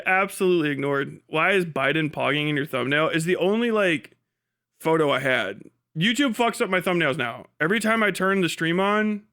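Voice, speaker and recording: Guy streamer just chatting, kinda high bright voice, swears a lot, sardonic and over it. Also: clear, resonant tone. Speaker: livestream host. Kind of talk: casual livestream chat.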